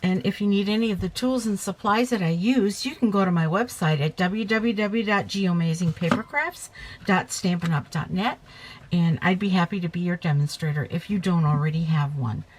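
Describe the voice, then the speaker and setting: A woman's voice: fairly low pitch, normal speed, noisy background. Chatty woman demonstrating paper crafts. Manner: chatty, talks while working, self-correcting. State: slightly hoarse, coming down with a cold. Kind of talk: casual crafting tutorial.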